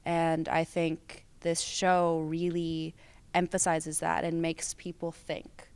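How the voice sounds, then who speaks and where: A woman's voice: low-pitched, monotone, takes it slowly, darkish tone, clean tone, dry recording. A young American woman giving casual interview answers as a student theater performer. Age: young adult.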